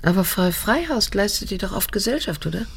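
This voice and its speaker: An actress in a radio drama playing a middle-aged daughter, restrained and quietly repelled, measured and insistent as she questions and narrates, in a husky voice. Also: mid-range voice, sing-song, exaggerated voice. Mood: restrained, reluctant.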